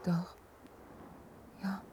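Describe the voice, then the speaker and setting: An actress playing a gentle, earnest young woman in love in a radio drama, soft and hushed. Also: drawn-out words, slight background noise, low-quality recording. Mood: gentle, attentive. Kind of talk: German radio drama acting.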